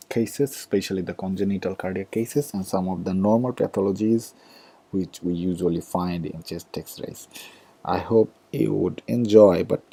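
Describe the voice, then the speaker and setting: A man with a Bangladeshi accent giving a recorded medical lecture over slides, halting, with many fillers and restarts and some offhand sarcastic asides. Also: sits low, gravelly, mellow, slightly rough.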